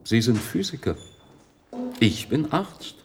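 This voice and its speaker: An actor playing a skeptical, down-to-earth doctor in a radio drama, dismissive and matter-of-fact. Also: male voice, medium pitch, big pitch swings, slow pace, loud music behind the voice. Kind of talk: German radio drama acting.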